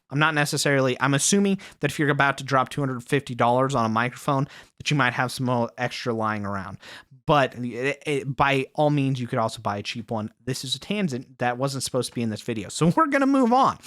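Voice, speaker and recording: Lively, chatty male tech reviewer. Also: mid-range voice. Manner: chatty, self-deprecating asides. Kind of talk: casual product review. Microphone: studio dynamic mic, spoken off axis.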